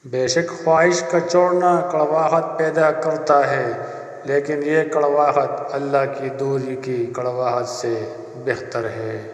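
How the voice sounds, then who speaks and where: A man giving a scripted narration of inspirational spiritual quotes in a solemn, deliberate way with measured pauses. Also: fairly high pitch. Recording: echoey, long reverb.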